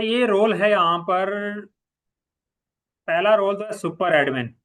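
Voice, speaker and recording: A man with a Pakistani accent giving an informal step-by-step software tutorial as a trainer, repeating key points and mixing in English terms. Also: a little high, poor audio. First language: Urdu.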